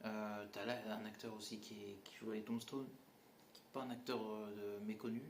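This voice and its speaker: A man's voice: on the low side, level tone, normal speed. Male reviewer, casual blunt delivery, mocking asides, roomy echo with faint background noise. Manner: blunt, mocking, many fillers and restarts. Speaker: movie reviewer. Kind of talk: casual spoken film critique.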